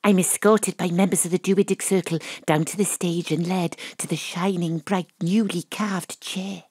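A woman's voice: fairly low pitch, fairly even intonation, normal speed, darkish tone, husky, no room sound, studio quality. A woman with a Welsh accent playing a proud, self-dramatizing detective who narrates theatrically in an audio drama. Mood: proud, building. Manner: theatrical, savouring each moment. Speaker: actor.

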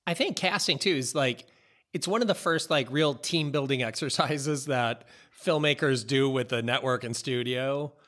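A man's voice: mid-range voice, normal speed, a bit bright. An American man in his forties chatting casually on a podcast, acting out quoted exchanges as he tells stories. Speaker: podcast host and film director. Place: indoor office, on a video call. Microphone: close boom-arm podcast mic.

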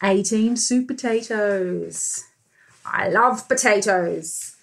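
A woman's voice: mid-range voice, slow pace, vocal fry, fairly bright tone. A woman in her thirties with an Australian accent, a preschool teacher reading a storybook aloud expressively with comments for young children. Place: small bright room indoors.

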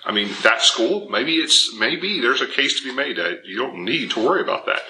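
A man in his thirties giving informal, animated, emphatic instruction to camera as a martial arts teacher. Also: medium pitch, moderate pace, slight background noise, rough recording. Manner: animated, emphatic, acts out imagined objections. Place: indoor dojo with bare walls and wood floor.

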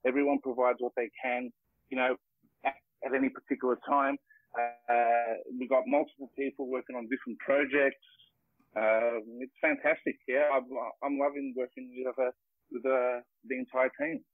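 A man's voice: medium pitch, little pitch movement, moderate pace, dry recording. An Australian man in his forties, a guest introducing himself casually over a remote call. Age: 40s. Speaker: interview guest, cryptocurrency project team member. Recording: muffled phone-like internet call.

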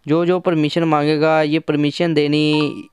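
Casting: male tech reviewer, very smooth clear voice, dry close sound, casual step-by-step explaining. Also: on the high side, little pitch movement, measured pace, a little mellow, no room sound. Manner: conversational, instructional, mixes in English terms. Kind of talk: casual hands-on phone app tutorial.

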